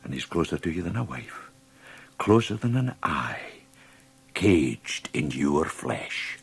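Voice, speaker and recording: A husky, creaking, mocking inner demon. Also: male voice, rumbling low, sing-song, slow, full character voice. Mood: sly, goading. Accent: Scottish. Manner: sardonic, taunting, theatrical. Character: mocking inner dark voice tempting an ageing doctor. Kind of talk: radio drama acting.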